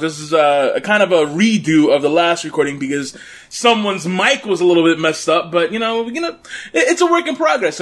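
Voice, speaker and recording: A man hosting a casual podcast from his apartment, loud and joking at his own expense into a desktop mic. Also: high-pitched. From Brooklyn, New York. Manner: loud, jokey, self-mocking. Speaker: podcast host. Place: home apartment. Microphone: USB desktop condenser mic.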